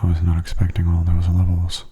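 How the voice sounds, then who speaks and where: A man giving soft-spoken ASMR game commentary in a gentle, breathy, unhurried voice that often drops close to a whisper. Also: completely flat delivery. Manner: gentle, unhurried, low-key. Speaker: gaming video host.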